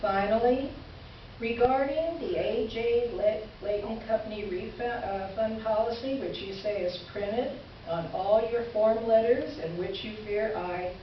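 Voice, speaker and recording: A woman's voice: a little high, natural voice. Echoey distant hall, woman playing indignant lady columnist, formal and pointed, stage letter reading, muffled sound. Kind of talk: reader's theater, dramatic letter reading. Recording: echoey hall from far off, dull, hissy.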